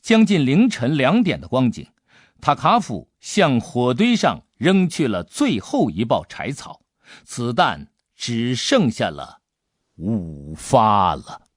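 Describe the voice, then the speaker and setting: 一位男性有声小说演播者，用富有悬念和表现力的方式讲述故事。. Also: male voice, medium pitch, slow pace, dry recording, studio quality. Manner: suspenseful, expressive delivery. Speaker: audiobook narrator. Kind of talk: dramatic scripted storytelling.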